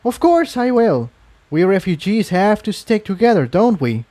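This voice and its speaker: A guarded refugee unicorn mare, acted out by a man, speaking well above his natural pitch. She is curt and apologetic, a fantasy storybook pony on edge. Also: very animated, normal speed, dark tone. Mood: eager.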